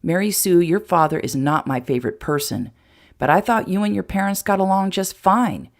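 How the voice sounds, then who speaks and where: Woman voicing a gentle, worried young man. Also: expressive, normal speed. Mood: fierce, upset. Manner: low, soft, concerned.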